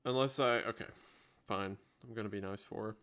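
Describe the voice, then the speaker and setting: A man's voice: lowish voice, steady tone, a little fast, fairly bright tone, clean tone. Man rambling casually on a livestream. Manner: rambling, thinking aloud while multitasking. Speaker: livestream host. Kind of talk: casual unscripted livestream chat.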